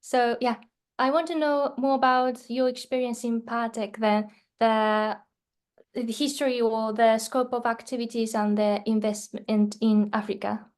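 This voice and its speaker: A woman's voice: on the high side, level tone, measured pace, darkish tone. A woman hosting a webinar interview, politely introducing her guest and asking questions. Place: webinar video call.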